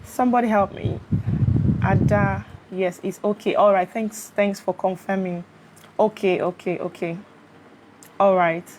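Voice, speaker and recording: A woman's voice: medium pitch, measured pace. A Nigerian woman hosting a live stream, chatting casually on a phone, greeting her viewers and checking they can hear her. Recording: steady fan noise behind.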